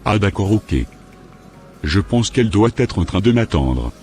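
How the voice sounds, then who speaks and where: A man voicing a deep-voiced unfaithful husband. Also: big pitch swings, a little slow, darkish tone, faint music behind. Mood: casual. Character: unfaithful married churchgoer.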